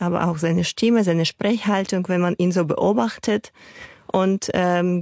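A woman's voice: fairly low pitch, darkish tone, no echo, clean studio sound. Thoughtful woman from Ukraine. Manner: thoughtful, hesitant with fillers. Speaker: interview guest, journalist. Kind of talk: casual interview talk.

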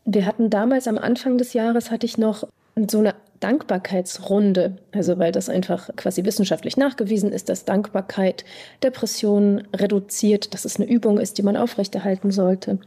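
A woman psychotherapist from Iran, answering interview questions in a measured, thoughtful way with pauses and fillers. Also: mid-range voice, steady tone, warm tone, breathy edge.

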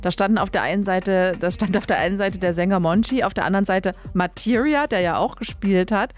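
A woman's voice: mid-range voice, a little fast. A woman from Leipzig, Germany, hosting a radio music show in a casual, chatty, colloquial way. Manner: chatty, colloquial, trailing tag questions. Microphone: close studio radio microphone.

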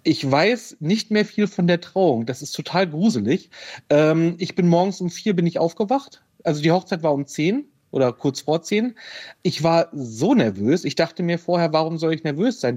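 A man's voice: fairly high pitch, a little mellow. A man telling a personal story as an interview guest, hesitant, with many fillers. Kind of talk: casual storytelling. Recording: dull lo-fi sound.

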